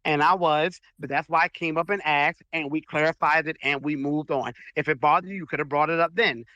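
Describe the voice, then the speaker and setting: A man's voice: a little high, fairly even intonation, fairly quick, sharp tone, no room sound, dull audio. A man taking part in an online audio debate, speaking firmly and earnestly with strong mannerisms.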